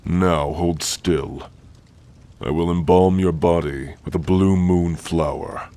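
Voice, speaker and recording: A man voicing a stern, gruffly caring orc warrior-healer in an ASMR roleplay, speaking in a formal, commanding way. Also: sits low, fairly even intonation, slow pace, dark tone. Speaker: roleplay voice actor.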